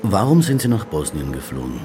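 An actor in a radio play as a middle-aged, gruff and weary police inspector, speaking in terse phrases. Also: male voice, a bass voice, big pitch swings, fairly quick, music in the background. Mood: stern, questioning. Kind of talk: German radio play acting.

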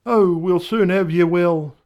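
A man voicing a brisk, cheerful country doctor with a breezy, condescending bedside manner. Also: high-pitched, muffled recording, voice pushed up.

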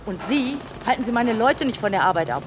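A woman's voice: a little high, big pitch swings, fairly quick. An actress playing a brusque, suspicious female restaurant boss in a radio play, speaking curtly. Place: outdoor yard with building work. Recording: clear construction and traffic noise.

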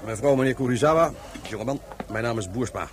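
An actor playing a courteous government official giving formal, ceremonious welcomes in a radio drama. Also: male voice, medium pitch, quick pace, slight background noise, lightly acted.

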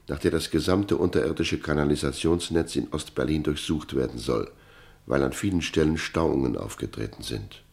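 Very deep-voiced male narrator. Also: vocal fry, a little mellow. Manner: formal, sober. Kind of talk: scripted documentary narration in a radio play.

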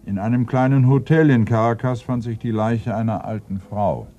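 An actor playing a brooding, irritable senior police inspector in a radio crime play, ponderous and commanding with sudden emphasis. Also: male voice, medium pitch, normal speed, mellow, highs cut off, soft background music, close to an everyday voice. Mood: sombre, reporting. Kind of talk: German radio play acting.

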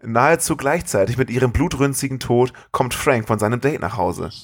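A man with a middle-pitched voice hosts a podcast. He retells a story casually, with a comedic slant. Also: normal speed, slightly rough. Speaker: podcast host.

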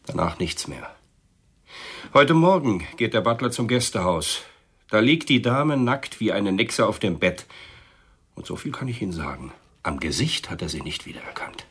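Blunt police inspector for radio noir: rough voice, widely swinging intonation, brusque, insistent. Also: male voice, fairly low pitch, sing-song, moderate pace, a bit bright, heavily acted. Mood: grim. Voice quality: husky, rough. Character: blunt, hard-nosed male homicide police inspector. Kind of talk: German radio play acting.

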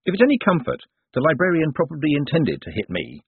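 A man voicing a sharp-witted young man who speaks in wry, dry remarks. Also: fairly high pitch, huge pitch swings, fast talker, husky, dry recording, pitched above the performer's own voice, exaggerated voice. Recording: tinny phone-like lo-fi.

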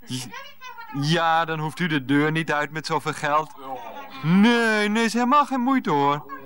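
A man's voice: fairly high pitch, a little slow, a bit bright. An actor playing an evasive, put-upon grown son who stammers and grumbles to his mother on the phone in a 1990s radio comedy. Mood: nervous, wheedling.

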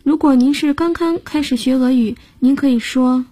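A woman's voice: high voice, normal speed, mellow, slightly rough. A woman hosting a radio language lesson, patiently explaining grammar and guiding listeners step by step. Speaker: language teacher and host.

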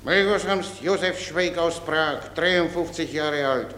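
A man's voice: fairly high pitch, a little slow, fairly bright tone. An actor in a German radio drama playing a naive, dutiful soldier in his fifties, guileless, deferential and stubbornly literal. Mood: eagerly dutiful. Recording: echoey hall with background noise.

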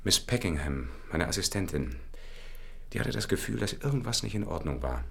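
An actor playing a shaken, earnest physician who explains himself a little hesitantly in a German radio drama. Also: male voice, low-pitched, sing-song, moderate pace, gravelly, slightly rough, full character voice. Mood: shaken, reporting.

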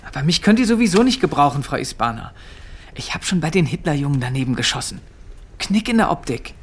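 An actor playing a shy, earnest seventeen-year-old farm milker in a German radio drama. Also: male voice, a little high, big pitch swings, fast, husky. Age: young adult. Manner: hesitant, modest, earnest.

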